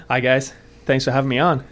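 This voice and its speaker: A man's voice: medium pitch, fairly even intonation, moderate pace, a bit bright. A young man from Norway with a Norwegian accent, a guest on a casual podcast chat. He talks hesitantly, with many fillers and restarts. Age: young adult.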